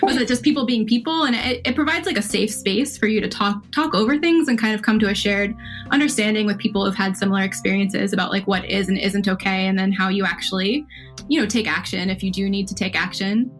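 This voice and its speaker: A woman's voice: medium pitch, fast talker, soft background music. A woman talking casually in an interview, with informal, tentative phrasing. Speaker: podcast interviewee, engineer.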